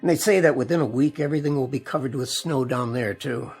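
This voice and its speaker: A man's voice: mid-range voice, fast talker, gravelly, darkish tone, slightly rough, soft background music. An actor playing a weary, resigned man getting ready to flee a freezing city in a radio drama.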